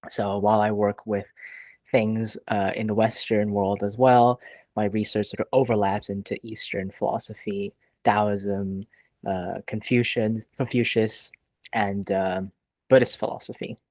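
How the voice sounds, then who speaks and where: A man's voice: fairly low pitch, flat delivery, a little slow, darkish tone, dry recording. A young man from Hong Kong, a philosophy PhD student, answering interview questions thoughtfully with some hesitation.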